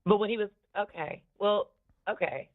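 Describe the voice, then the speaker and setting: A woman's voice: medium pitch, moderate pace. Smoky-voiced woman on a phone line. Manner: candid, profane, laughs often. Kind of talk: casual chat, commentary on podcasts. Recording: thin, tinny phone-line audio.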